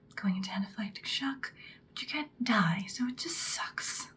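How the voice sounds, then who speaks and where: A woman playing an ancient, powerful female vampire in an ASMR roleplay, whispering breathily in an intimate, calmly menacing, seductive way. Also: medium pitch, close to an everyday voice. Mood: calm, instructive. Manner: slow, deliberate, intimate. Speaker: ASMR performer.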